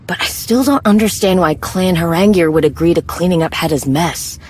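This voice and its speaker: A woman acting a brisk, proud, no-nonsense warrior in a video game, with a blunt, clipped, dry manner. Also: lowish voice, a little mellow, breathy edge. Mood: wry, analytical.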